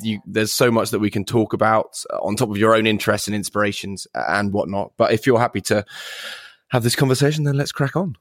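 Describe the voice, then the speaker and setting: A man's voice: fairly low pitch, fast, no room sound, clean studio sound. A man hosting a podcast interview, hesitant, with many fillers and restarts. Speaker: podcast host.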